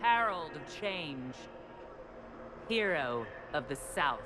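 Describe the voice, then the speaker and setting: A woman's voice: deep voice, very animated, slow pace, noisy background, full character voice. An actress playing a stern, formal, menacing woman commander in a video game. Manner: formal, declamatory.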